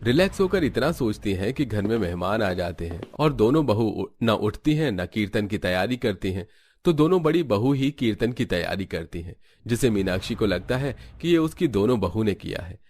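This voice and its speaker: A man's voice: fairly low pitch, very animated, moderate pace, darkish tone, slight background noise. A man reading scripted narration for a family storybook cartoon.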